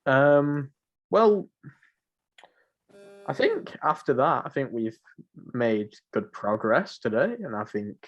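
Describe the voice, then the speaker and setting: A man's voice: medium pitch, expressive, slow, long pauses. A young man co-hosting a podcast in casual, rambling, informal chat. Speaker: podcast co-host. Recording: muffled lo-fi home recording.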